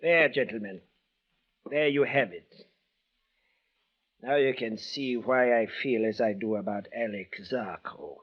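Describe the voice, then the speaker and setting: A man's voice: medium pitch, measured pace, long pauses, natural voice. Embittered male avenger played by an actor, stiffly formal and intense, old-time radio melodrama, muffled broadcast sound. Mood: bitter. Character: bitter, menacing disfigured man obsessed with revenge. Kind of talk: 1940s radio drama acting.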